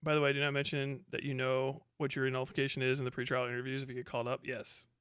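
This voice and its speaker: A man livestreaming casual, profane commentary and reacting to chat, animated and sarcastic as he slips into imagined quotes. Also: mid-range voice, quick pace, smooth, no room sound, studio quality. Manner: profane, slangy. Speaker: live streamer.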